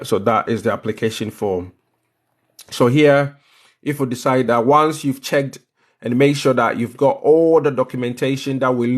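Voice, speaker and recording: A man with a Ghanaian accent hosting a live step-by-step tutorial, instructive and repeating phrases for emphasis. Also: medium pitch. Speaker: livestream host explaining visa applications.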